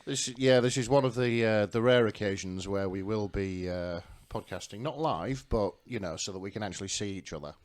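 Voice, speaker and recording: A man's voice: lowish voice, brisk, hardly any pauses. A man co-hosting a podcast in casual conversation, sounding a little hungover, recorded indoors on a podcast microphone. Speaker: podcast co-host. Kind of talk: casual chat. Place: living room table, indoors.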